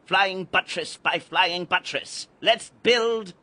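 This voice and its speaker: An actor playing a mysterious, grandly macabre guide to young boys in a dramatized audiobook, declaiming like an incantation. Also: male voice, high-pitched, takes it slowly, a little hiss or hum, full character voice. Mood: urging, rousing. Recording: loud music under the voice.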